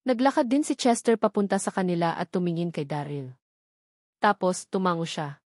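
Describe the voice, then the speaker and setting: A woman's voice: fairly low pitch, moderate pace, a little mellow, no echo, clean studio sound. A woman reading a scripted story as an audiobook narrator.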